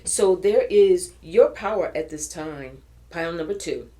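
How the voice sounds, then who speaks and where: A woman giving an earnest, encouraging tarot reading, her voice a little raspy from recording early in the morning. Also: lowish voice, animated, unhurried, mellow. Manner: earnest, encouraging, spiritual phrasing with many restarts. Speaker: tarot reader. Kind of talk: pick-a-card tarot reading.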